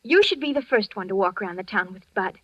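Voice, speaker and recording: An actress playing an excitable teenage girl next door in an old-time radio drama, effusive and earnest. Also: medium pitch, fast, no echo, close to an everyday voice. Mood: earnest, pleading. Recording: dull, lo-fi vintage radio recording.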